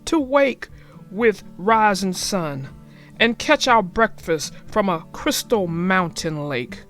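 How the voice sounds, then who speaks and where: A woman's voice: mid-range voice, lively intonation, slow pace, a bit bright, soft background music. A woman voicing a boastful, enthusiastic father bear from a storybook in a grand, hearty, confident manner. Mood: dreamy, grand. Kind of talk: storybook character voice.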